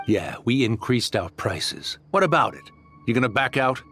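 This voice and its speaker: An actor playing a greedy, callous arms dealer in a dramatic video game, smug and cynical, persuasive and dismissive with veiled threats. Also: male voice, medium pitch, sing-song, moderate pace, a bit bright, faint music behind.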